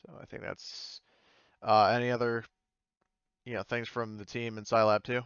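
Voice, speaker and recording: A man's voice: medium pitch, level tone, moderate pace, fairly bright tone, smooth, no echo, lo-fi sound. A man working as game master, improvising narration for a tabletop roleplaying game and rephrasing as he explains, tentatively.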